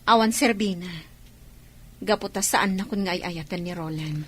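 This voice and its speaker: An actress with an Ilocano accent playing a resigned, downcast wronged wife in a radio drama. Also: female voice, fairly low pitch, moderate pace, fairly bright tone, slight background noise, close to an everyday voice.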